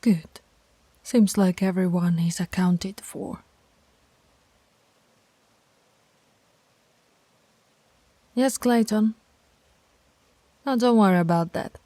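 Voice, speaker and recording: A woman's voice: mid-range voice, slow, mellow, dry recording. A woman voicing a stern, teasing alpha werewolf pack leader in an audio roleplay, authoritative and taunting. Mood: brisk, commanding.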